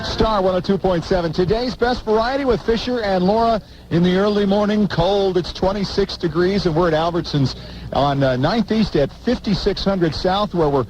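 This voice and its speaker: A man's voice: high voice, normal speed, poor audio. An American man co-hosting a morning radio show live on location, chatting and reading station idents. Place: outdoors at a cold supermarket event. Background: music bed.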